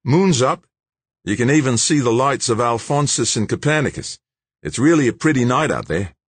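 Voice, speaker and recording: A man's voice: medium pitch, animated, normal speed, husky, no room sound, voice pushed up. A man putting on a big blunt young Aussie. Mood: blunt, cheery. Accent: Australian.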